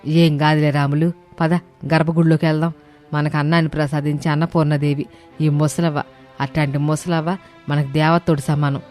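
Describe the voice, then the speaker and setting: A woman voicing a devout, reassuring village aunt in an animated moral story. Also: low-pitched, a little fast, pitched below the performer's own voice, close to an everyday voice. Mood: urging. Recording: music behind the voice.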